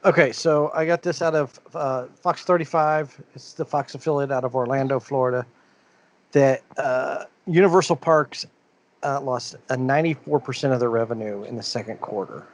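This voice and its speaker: A man's voice: medium pitch, normal speed. A man co-hosting a casual podcast, reading out news items and rambling on with many fillers and restarts. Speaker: podcast co-host.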